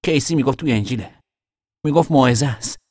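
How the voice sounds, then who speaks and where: An actor playing a young man in hiding, talking to his mother in an earnest, quietly stubborn way. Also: mid-range voice, dry recording, clean studio sound, natural voice. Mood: reflective. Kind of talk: audio drama acting.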